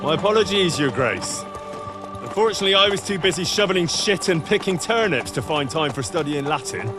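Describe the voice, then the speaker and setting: An actor playing a dutiful, sensible young commoner bodyguard, sarcastic and down-to-earth, in a video game cutscene. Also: male voice, a little high, animated, fairly quick, music in the background, natural voice.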